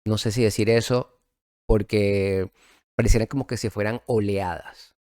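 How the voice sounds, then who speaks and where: A middle-aged man with a Venezuelan accent, telling his story as a guest in a casual interview, relaxed and reflective, with many fillers and restarts. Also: on the low side, normal speed, dry recording, studio quality.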